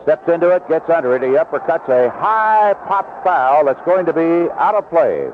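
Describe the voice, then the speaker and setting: Vintage radio baseball caller: man, animated intonation, descriptive clipped calls, clearly noisy background. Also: fairly high pitch, moderate pace. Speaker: baseball play-by-play announcer. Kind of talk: live radio sports commentary. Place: broadcast position at an outdoor ballpark. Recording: narrow-band vintage radio broadcast, noisy.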